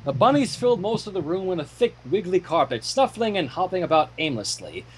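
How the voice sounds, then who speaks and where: Male reader, fan fiction narration. High-pitched for a man, very expressive delivery. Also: fairly quick, a little hiss or hum, low-quality recording. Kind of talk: expressive storybook-style narration.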